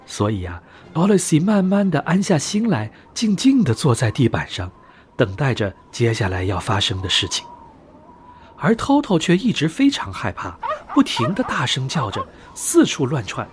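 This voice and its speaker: A man narrating a bedtime fairy tale for young children in an expressive, dramatic storytelling manner. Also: mid-range voice, moderate pace, slight background noise. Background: background music.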